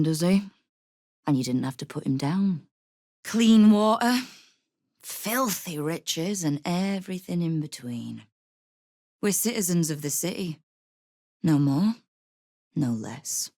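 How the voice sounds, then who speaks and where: A woman's voice: deep voice, no echo, clean studio sound, exaggerated voice. A woman playing a hard-bitten, sardonic crime guild boss in a video game, with a wry, commanding manner. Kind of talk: video game character dialogue.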